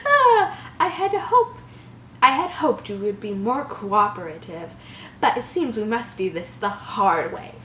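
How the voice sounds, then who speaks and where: A woman's voice: a little high, big pitch swings, measured pace, a bit bright. A woman voicing a smug twelve-year-old bully boy in a creepypasta reading, theatrical and mockingly menacing. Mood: taunting. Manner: theatrical, taunting. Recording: roomy echo, faint background noise.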